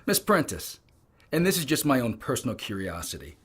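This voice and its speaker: An actor playing a shrewd, polished intelligence officer in an audio drama, measured and dryly courteous. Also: male voice, mid-range voice, big pitch swings, fairly bright tone, breathy edge. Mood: measured, probing.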